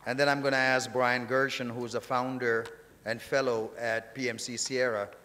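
A man's voice: mid-range voice, flat delivery, moderate pace, pushed and bright, a little hiss or hum. A man giving a conference speech as session chair, conversational yet emphatic, repeating phrases for emphasis. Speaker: session chair and speaker at a technology summit. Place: large indoor conference hall, on stage. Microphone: podium gooseneck microphones. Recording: reverberant hall sound through podium microphone.

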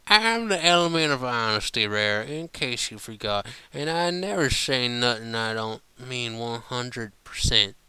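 A man's voice: medium pitch, lively intonation, measured pace, hardly any pauses, bright, forceful tone, clean tone, dry recording, poor audio. A man voicing a stubborn, earnest, drunken female country pony in a storybook, with a slurred American Southern drawl and folksy, blurting manner. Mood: defensive. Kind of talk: fan fiction audiobook character voice.

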